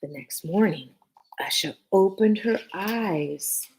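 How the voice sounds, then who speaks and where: A woman's voice: medium pitch, darkish tone, breathy edge. A woman teacher reading a picture book aloud to children expressively, with short phrases and casual asides. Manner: addresses young listeners, pausing between short phrases.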